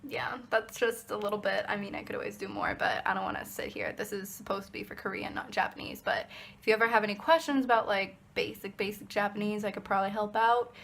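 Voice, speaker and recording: A woman's voice: medium pitch, steady tone, quick pace, gravelly, a bit bright. A young American woman in her twenties, a college student, talking casually to camera in a rambling vlog with many fillers and restarts. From United States. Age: 20s.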